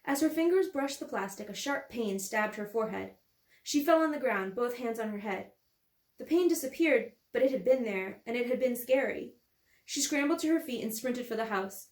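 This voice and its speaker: A woman's voice: fairly high pitch, animated, moderate pace, a little mellow. A woman, an author, reading her novel aloud as scripted story narration in an echoey room.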